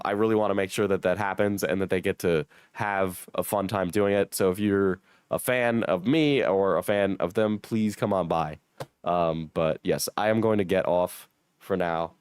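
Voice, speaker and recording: Hoarse, sick young man streamer, dry mic. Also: fairly low pitch, dry recording. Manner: joking, self-mocking, swears. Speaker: streamer reading chat. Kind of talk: casual livestream chat.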